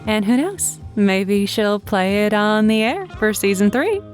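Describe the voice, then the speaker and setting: A woman playing a chatty, easily flustered lonely-hearts radio host in an audio comedy, halting and informal with repeated words. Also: mid-range voice, animated, soft background music, natural voice. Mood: breezy announcing. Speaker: radio call-in show host. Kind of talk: audio comedy drama, casual radio hosting.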